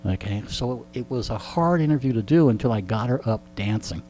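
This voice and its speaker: A man telling jokey anecdotes in conversation as a radio interviewee, with deadpan punchlines and repeated phrases for effect. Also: medium pitch, a little fast, a little hiss or hum. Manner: jokey, deadpan storytelling with rhetorical repetition. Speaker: radio host being interviewed. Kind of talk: animated comic anecdotes in conversation.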